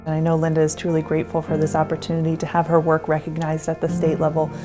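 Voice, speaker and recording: Woman program director: quick, businesslike talk, low voice, music behind. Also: monotone. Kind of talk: interview in a produced video. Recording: music bed under the voice.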